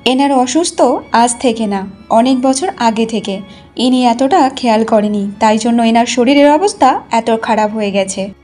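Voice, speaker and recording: A woman's voice: on the high side, normal speed, a little mellow, faint music behind, natural voice. A woman voicing a firm, matter-of-fact hospital doctor in a cartoon story.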